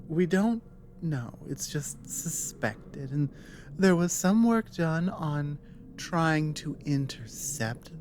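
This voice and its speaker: A woman playing an earnest, resolute female dragon ally in an ASMR roleplay, speaking in halting, intimate phrases with pauses. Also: deep voice, darkish tone, a little hiss or hum, exaggerated voice. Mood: thoughtful, explaining.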